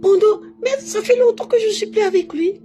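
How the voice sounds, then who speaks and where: Non-native woman chatting on a live stream, mellow voice, keeps circling back to the same filler, bit of music in the back. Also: very high voice, brisk. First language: not French. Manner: emphatic, keeps repeating a filler between phrases. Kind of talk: casual live monologue, personal storytelling.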